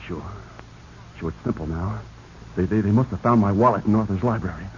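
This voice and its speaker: An actor playing a guilt-ridden, nervous man in a 1940s radio drama, stammering and halting. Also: lowish voice, natural voice. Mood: panicky. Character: panicky, guilt-ridden man hiding a killing. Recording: noisy, dull 1940s radio recording.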